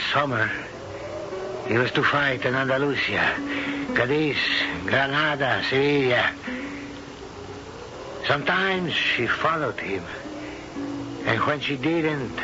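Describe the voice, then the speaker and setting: An actor playing a proud, anguished older Spanish husband in a halting, melodramatic 1970s radio drama style. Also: male voice, medium pitch, animated. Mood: sorrowful, confessing. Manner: slow, halting, melodramatic delivery. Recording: dull old radio broadcast with music.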